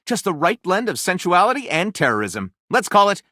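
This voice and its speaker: Game role: flamboyant storyteller, highly animated, grandiose narrator who gushes, recorded dry. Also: male voice, fairly quick, no echo, exaggerated voice. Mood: gushing, delighted. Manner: grandiose narration switching to gushing excitement. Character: flamboyant, theatrical storyteller co-writing lurid pulp novels. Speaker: video game voice actor.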